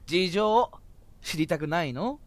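A young man acting a breezy, easygoing, nosy older high school boy in an audio drama. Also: lively intonation, takes it slowly.

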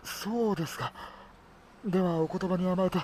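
An actor playing a polite, soft-mannered middle-aged uncle in an audio drama, speaking formally and wistfully. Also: male voice, high-pitched, moderate pace, a little hiss or hum, close to an everyday voice. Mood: courteous.

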